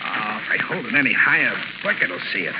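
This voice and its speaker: A man's voice: medium pitch, sing-song, fast, natural voice. A man playing a jittery, tough-talking crook in a 1930s radio drama, with clipped gangster slang. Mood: urgent, impatient. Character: jittery, tough-talking male escaped crook, gun-ready henchman. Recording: noisy, muffled 1930s radio broadcast.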